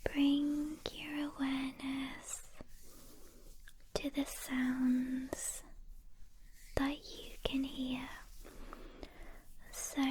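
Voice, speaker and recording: Female ASMR meditation host: soft breathy whisper, extremely unhurried, monotone and soothing, clear noise and hand sounds behind. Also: sits high. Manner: gentle, soothing, with long pauses between short phrases. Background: soft hand sounds and clicks.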